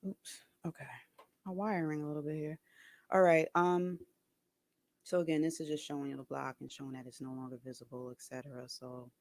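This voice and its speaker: A soft-voiced man talking casually to camera, hesitant, with many ums and restarts. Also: mid-range voice, gravelly. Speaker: video creator.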